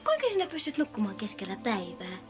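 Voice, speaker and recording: A woman playing a friendly, gentle elf in a children's fantasy film, speaking in an earnest, coaxing way. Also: medium pitch, wildly swooping pitch, over music.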